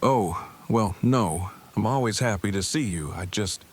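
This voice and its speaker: A man's voice: lowish voice, animated, a little slow, husky. A man playing an awkward, earnest survivor who talks in stilted, wordy, formal phrases in a fan audio drama. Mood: flustered.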